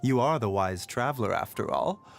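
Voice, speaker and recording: An actor voicing a courteous, scholarly, gently sly physician for a video game, speaking in polite, measured, formal phrases. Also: male voice, mid-range voice, lively intonation, vocal fry, soft background music. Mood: quietly sly. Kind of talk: scripted game voicelines.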